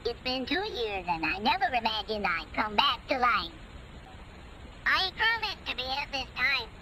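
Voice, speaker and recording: A piercing cartoon woodpecker, pleading as it returns from the dead. The voice is high and swoops up and down, over clearly noisy audio in an analog horror cartoon. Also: female voice, big pitch swings, a little slow, pushed and bright, full character voice.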